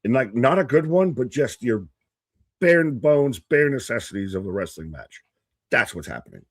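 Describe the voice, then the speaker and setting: A man's voice: medium pitch, big pitch swings, moderate pace, dry recording, clean studio sound. A man co-hosting a casual wrestling watch-along stream, laid-back and joking, often trailing off mid-thought. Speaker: co-host.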